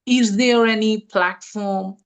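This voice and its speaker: A man's voice: high-pitched, fairly even intonation, slow pace, fairly bright tone. A man attending a webinar, politely asking a question with many hesitations and fillers. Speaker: webinar participant.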